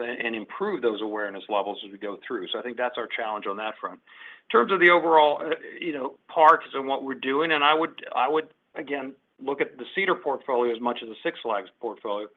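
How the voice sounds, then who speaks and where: Business exec guy on an earnings call, mid voice, keeps restarting phrases, thin phone-like audio. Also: medium pitch. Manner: businesslike, halting restarts with repeated words. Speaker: company executive. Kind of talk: unscripted answers on an earnings call. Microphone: conference call line. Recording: muffled, band-limited conference call audio.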